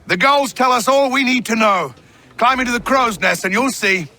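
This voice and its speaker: An actor playing a brusque, authoritative sea captain who bellows orders in a video game cutscene. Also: male voice, extremely high pitch, moderate pace, exaggerated voice. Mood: assured, commanding. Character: commanding male sailing ship captain bellowing orders. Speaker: ship captain. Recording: loud crowd and noise behind.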